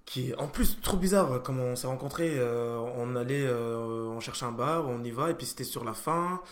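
A young man from France hosts a relaxed conversation at a middle pitch. He hesitates often, restarting his sentences and filling pauses. The room around him is echoey. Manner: hesitant, many fillers and restarts. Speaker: podcast host. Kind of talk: casual chat.

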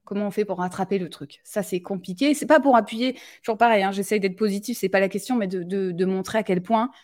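A woman's voice: medium pitch, fast talker, a little mellow. A woman hosting a live talk, speaking directly and plainly, often restarting her sentences. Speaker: live show host. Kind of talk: casual live discussion.

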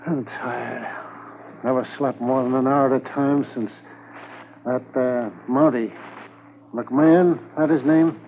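A man's voice: medium pitch, fairly even intonation, slow pace, close to an everyday voice. Guy playing tired wounded stickup man, needling and defiant, 50s radio drama, tinny with faint hiss. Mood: weary, brooding. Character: weary, defiant wounded bank robber under arrest. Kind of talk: 1950s radio drama acting.

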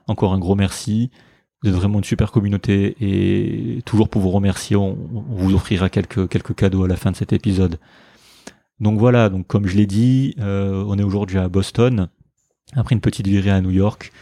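A man's voice: fairly low pitch, fairly even intonation, normal speed, creaky, mellow, no echo, studio quality. A young man hosting a French podcast interview, speaking with enthusiasm and frequent restarts. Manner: enthusiastic, with many fillers and restarts. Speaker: podcast host. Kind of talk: conversational interview and sponsor read. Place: visiting Harvard, Boston.

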